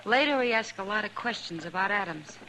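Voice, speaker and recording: A woman's voice: mid-range voice, expressive, fairly quick, pushed and bright, poor audio. An actress playing a frank, wary frontier saloon woman in a 1950s radio western, plainspoken and casual. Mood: concerned, frank. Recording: old 1950s radio recording, faint noise.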